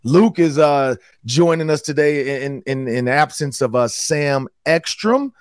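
A man hosting a sports podcast, talking in a loose, upbeat way with a hoarse voice. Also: on the high side, animated, unhurried, dry recording, clean studio sound. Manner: upbeat, loose, repeats words. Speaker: podcast host. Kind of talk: casual sports talk.

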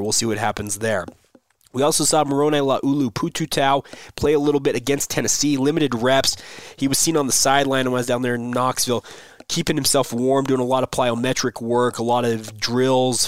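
A man's voice: mid-range voice, no room sound, clean studio sound. Man with a voice under the weather. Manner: conversational, with fillers and restarts. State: under the weather, voice not fully back. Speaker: podcast host. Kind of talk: solo sports podcast commentary.

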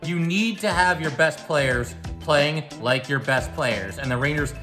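A man's voice: on the high side, a little slow, over music. A man who hosts a hockey podcast, giving solo commentary on a game.

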